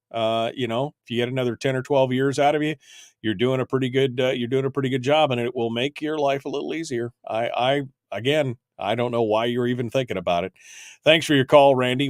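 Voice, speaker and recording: A man's voice: medium pitch, no room sound. Easygoing male call-in host, chatty, dry close studio mic. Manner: casual, chatty, repeats words. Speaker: radio talk show host. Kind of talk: call-in radio hosting. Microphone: studio microphone.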